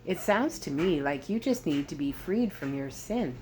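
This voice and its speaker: A woman's voice: deep voice, animated, moderate pace. Storybook role: young woman, voiced by a female reader, frank, heartfelt, slight noise on the recording. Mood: gentle, sincere.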